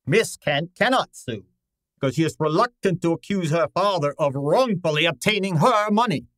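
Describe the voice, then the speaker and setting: A man acts out an imperious radio-drama detective with a high voice. He talks in formal, ornate phrases, curt and easily exasperated. The sound is dry and close. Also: lively intonation, normal speed, a bit bright, dry recording. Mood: stern, commanding. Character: pompous, gruff, money-minded male detective, curt and imperious. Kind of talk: old-time radio drama acting.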